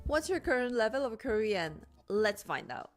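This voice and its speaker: A woman's voice: a little high, big pitch swings, moderate pace, soft background music. A woman narrating a language quiz video in an upbeat, instructional way.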